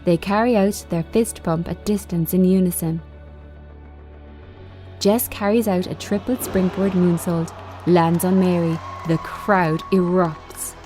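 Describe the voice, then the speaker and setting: A woman reads screen directions in a measured, unhurried way. Her voice is slightly low and very mellow, delivering short phrases of scripted narration. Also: fairly even intonation, faint music behind. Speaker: screenplay reader.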